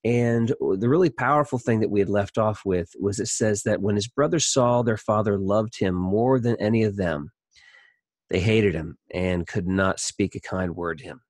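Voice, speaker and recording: A man's voice: lowish voice, moderate pace, a little mellow, dry recording. A man teaching a Bible story in an informal, conversational way, thinking aloud as he comments on it. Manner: conversational, thinking aloud, acting out imagined dialogue.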